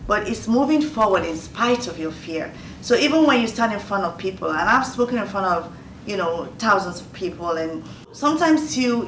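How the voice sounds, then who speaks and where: A woman's voice: mid-range voice, a bit bright. A woman in her fifties from Nigeria with a Nigerian accent, giving earnest advice in a Q&A and repeating phrases for emphasis. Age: 50s. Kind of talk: motivational Q&A talk. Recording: roomy distant mic, faint noise.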